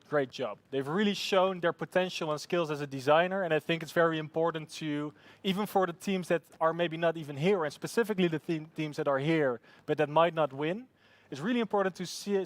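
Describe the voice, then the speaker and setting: Man, event host, upbeat, filler-heavy, slightly high voice, dry close sound. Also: a little fast, dry recording. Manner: upbeat, hesitant with many fillers. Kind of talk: live event hosting.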